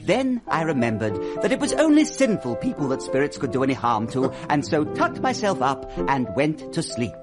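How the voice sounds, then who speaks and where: Genial victorian english gent doing a ghost story, theatrical, lightish voice, music under it. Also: male voice, on the high side, wildly swooping pitch, normal speed, hardly any pauses. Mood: mock-solemn. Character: genial Victorian gentleman telling his boyhood ghost story. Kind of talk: radio drama monologue.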